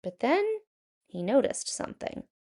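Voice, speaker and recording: A woman narrating a children's picture book aloud in an expressive, animated storytelling manner. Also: high voice, unhurried, husky.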